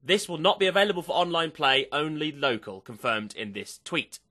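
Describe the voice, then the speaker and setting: A man's voice: fairly high pitch, sing-song, normal speed, bright, forceful tone. A man reading an enthusiastic, playful scripted news report as presenter of a video game channel.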